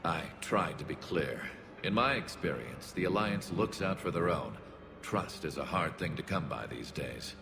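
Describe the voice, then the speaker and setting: A man playing a wary, weary alien refugee leader in a video game, speaking in a grave, measured and formal way. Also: extremely low pitch, expressive, vocal fry, heavily acted. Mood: wary, frustrated. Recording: music behind the voice.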